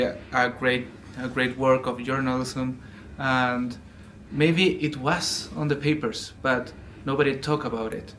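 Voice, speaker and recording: A man from Chile speaking up in a discussion, searching for words and pausing often. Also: mid-range voice, fairly bright tone, a little hiss or hum. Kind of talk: casual discussion.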